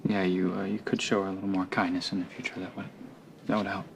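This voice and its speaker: An actor playing a reserved, awkward young husband in a TV soap, speaking in a halting, subdued way. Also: male voice, low-pitched, little pitch movement. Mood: subdued, earnest. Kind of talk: television soap opera acting. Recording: clearly noisy TV soundtrack.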